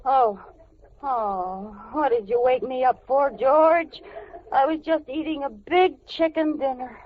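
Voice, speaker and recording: A woman playing a lively, hungry dieting housewife with exaggerated comic timing in a vintage radio sitcom. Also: high-pitched, takes it slowly. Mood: groggy, wry. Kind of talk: 1940s-50s radio sitcom acting. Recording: muffled, hissy vintage radio recording.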